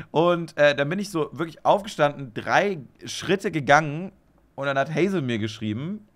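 A man's voice: fairly high pitch, animated, normal speed, fairly bright tone. A young man co-hosting a podcast in casual chat, rambling with lots of fillers, swearing often and laughing easily. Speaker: podcast co-host.

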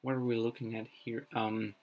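A young man whose first language is Danish, thinking aloud on a casual live stream, halting with many fillers and restarts, recorded in a small room. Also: mid-range voice, little pitch movement, highs cut off, slight background noise. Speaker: streamer building a constructed language.

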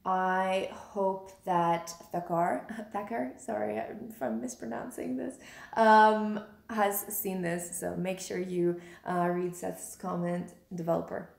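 A young woman from Russia, Russian as her first language, hosts a casual livestream and reads out viewer comments. Her voice sits a little low and she often restarts her sentences. The webcam sound is roomy, with the reflections of a high-ceilinged room. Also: gravelly, darkish tone. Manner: chatty, restarts sentences, laughs at own slips. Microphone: webcam at desk.

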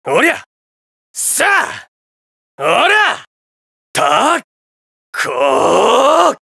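A man's voice: extremely high pitch, wildly swooping pitch, drawn-out words, vocal fry, sharp tone, husky, no echo, studio quality, exaggerated voice. A man playing a menacing, arrogant armored villain in a video game, with a taunting, commanding manner. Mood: battle grunts. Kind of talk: video game battle voice acting.

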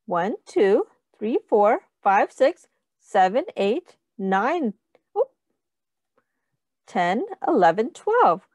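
A woman teacher reading a picture book aloud to young children, deliberately and instructively, asking questions and counting along. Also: mid-range voice, big pitch swings, a little mellow, no room sound, studio quality.

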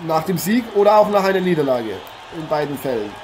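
A man playing an eager, chatty boxing commentator and interviewer in an improvised radio play, polite and halting. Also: a little high, animated, natural voice. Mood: earnest, curious. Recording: noisy, with arena ambience behind.

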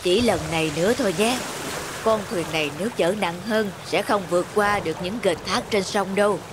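An actor playing a weary, wise old male boatman in an animated fable, speaking in a grave, measured, admonishing way. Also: mid-range voice, background noise, close to an everyday voice. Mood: cautious. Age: older. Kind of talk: animated moral fable dubbing. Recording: loud music behind the voice.

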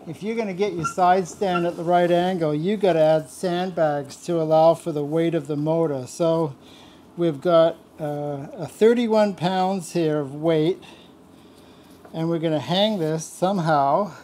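A man's voice: a little high, dark tone, slight background noise. An older man casually talking through motorcycle fabrication step by step in a large workshop, repeating words as he goes. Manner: plain-spoken, explains step by step with frequent word repeats. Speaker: motorcycle builder showing his shop work.

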